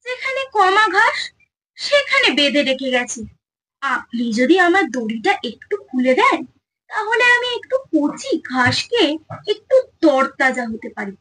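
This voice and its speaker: Small, wily cartoon animal, female actor: extremely high, animated, sing-song, humble and wheedling. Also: heavily acted, poor audio. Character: wily, pleading small animal, deferential and clever. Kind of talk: children's cartoon voice acting.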